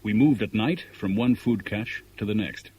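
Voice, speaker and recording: An actor playing a tense, clipped, commanding escape organiser in a 1970s radio thriller. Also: male voice, fairly low pitch, lo-fi sound, lightly acted. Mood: terse narrating. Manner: brisk, insistent questioning. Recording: noisy old radio drama recording.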